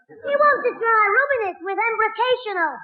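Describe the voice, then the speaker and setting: A quick-witted Northern English schoolboy with a very high, piping voice. He fires cheeky backchat in 1960s sitcom style, on a roomy, thin and tinny old broadcast recording. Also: lively intonation, very noisy. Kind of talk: 1960s radio sitcom acting.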